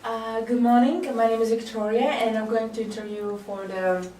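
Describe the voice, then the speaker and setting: A woman's voice: on the high side, flat delivery, moderate pace, mellow. A woman acting as interviewer in a casual role-play job interview, asking questions with brief encouraging interjections. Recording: echoey room, mic at a distance, faint noise.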